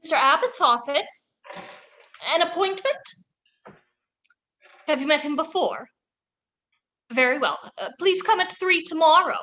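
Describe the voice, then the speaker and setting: Woman playing a bright, high-voiced young secretary. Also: lively intonation, unhurried, long pauses, slight background noise, heavily acted. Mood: courteous. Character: polite, eager young female office secretary. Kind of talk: old-time radio drama acting.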